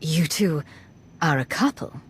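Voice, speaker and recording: A woman's voice: sits low, expressive, unhurried, slight background noise. A woman voicing a serious commander in a video game, terse, skeptical and no-nonsense. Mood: questioning, firm. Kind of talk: video game cutscene acting.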